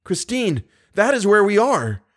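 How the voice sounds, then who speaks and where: A man's voice: fairly high pitch, big pitch swings, normal speed, voice pushed up. A man voicing an ardent young male lover in an audiobook, fraught and impassioned. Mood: anguished.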